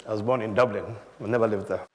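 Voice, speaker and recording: A middle-aged man from Ghana recounting his life in a relaxed storytelling way, chuckling often and mimicking people in his anecdotes. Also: lowish voice, fast, in a large hall, noisy background.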